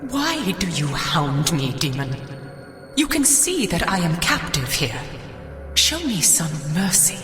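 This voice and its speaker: A woman's voice: lowish voice, very animated, slow pace, music in the background. An actress playing a sorrowful, bitter female ghost in a video game, with a solemn, mournful, theatrical delivery. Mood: pleading, wounded. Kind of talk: dramatic video game voice acting.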